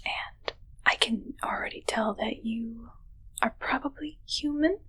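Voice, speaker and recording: Woman doing soft whispery alien fake doctor for asmr, kinda high, slow n halting, awkwardly polite. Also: breathy edge, heavily acted. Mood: hesitant, curious. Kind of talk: ASMR roleplay, mostly whispered.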